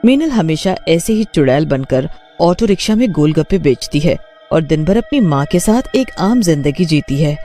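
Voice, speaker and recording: A man's voice: fairly high pitch, animated, moderate pace, dark tone, faint music behind. A man reading scripted narration for a cartoon story.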